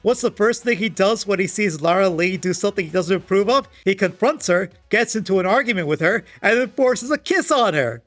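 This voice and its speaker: A man with a fairly high voice hosts a comedic commentary. His scripted delivery is sarcastic and exasperated, loose and casual enough to swear. Light music plays behind him. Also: expressive, brisk, hardly any pauses, a bit bright. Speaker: video essayist and host. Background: background music.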